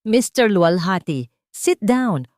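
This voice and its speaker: A woman's voice: medium pitch, big pitch swings, takes it slowly, darkish tone, dry recording. Stern courtroom judge performed by a woman narrator, Filipino accent, commanding storybook delivery, dry sound. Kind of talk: audio storybook character voice.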